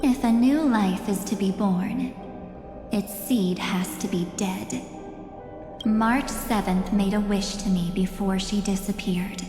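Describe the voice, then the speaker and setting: Slow, echoing, ominous woman acted for a game. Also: mid-range voice, mellow, lightly acted. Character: menacing, seductive, grandiose female keeper of memories. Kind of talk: theatrical video game voice acting. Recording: echoey long reverb under game music.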